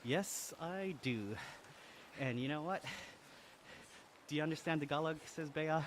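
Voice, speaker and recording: An Australian man on a casual live stream, reading viewer comments aloud while riding an indoor bike, upbeat and quick to laugh. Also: a little high, animated, slight background noise. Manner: upbeat, laughs often, friendly and chatty.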